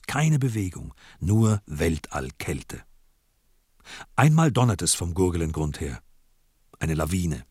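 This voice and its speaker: A man's voice: on the low side, a little mellow, dry recording, clean studio sound. A man acting as the grave narrator of a radio play, telling a mountain village tale in literary style.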